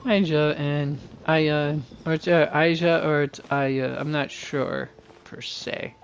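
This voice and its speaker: A man's voice: fairly high pitch, steady tone, slow, gravelly, slight background noise. A man hosting a game livestream, reading dialogue aloud and commenting casually, often stumbling and correcting himself. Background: game music.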